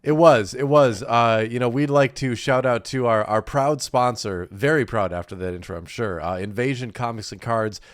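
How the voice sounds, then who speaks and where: A man's voice: medium pitch, normal speed, few pauses, no room sound. A man in his thirties co-hosting a casual podcast, nasal and congested from a cold, rambling with fillers and laughs. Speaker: podcast co-host. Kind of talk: casual chat.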